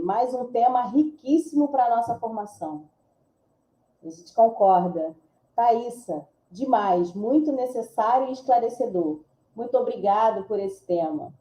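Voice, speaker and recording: Very muffled-toned, dark-voiced Brazilian woman. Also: mid-range voice. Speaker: reader of audience chat comments. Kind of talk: reading comments aloud with casual asides. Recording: echoey, roomy sound.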